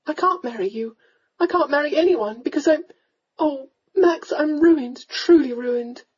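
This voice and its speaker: A woman's voice: sits high, animated, moderate pace, dark tone, muffled recording, voice pushed up. A woman voicing a proud, emotional young Regency lady in a romance audiobook, earnest and pleading. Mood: anguished, pleading.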